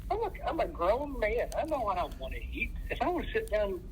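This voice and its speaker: A man's voice: sits high, very animated, quick pace, a little hiss or hum, poor audio. A man chatting casually as he chimes in on a conversation. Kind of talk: casual chat, brief comments.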